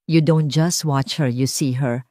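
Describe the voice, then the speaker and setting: Woman reading as composed, earnest wealthy father, low-voiced. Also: steady tone, measured pace, mellow, no echo, studio quality. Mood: decisive. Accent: Filipino. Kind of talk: audiobook character dialogue.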